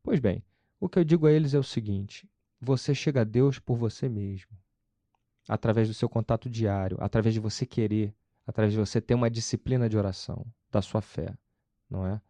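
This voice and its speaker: A man's voice: on the low side, a little fast, warm tone, dry recording. A man with a Carioca Brazilian accent, speaking as an interview guest in an emphatic, explanatory way, often asking and answering his own questions. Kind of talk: conversational testimony about personal faith.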